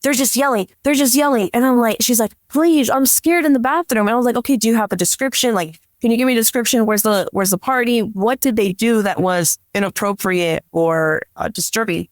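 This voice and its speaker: A chatty woman with a fairly high voice talks as a podcast guest. She tells stories casually and informally, slipping often into quoted dialogue. The recording is dry, with little room sound. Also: fairly quick, few pauses, dry recording. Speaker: podcast guest, police dispatcher. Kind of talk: casual anecdotal storytelling.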